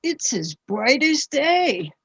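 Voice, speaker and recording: A woman's voice: sits high, sing-song, slow, fairly bright tone, no echo, full character voice. A woman playing a fond, wistful mother of times past, longing for the night, in a radio play with slightly muffled sound. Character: moon-bewitched old-time mother, longing, fond and wistful. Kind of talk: period radio play acting.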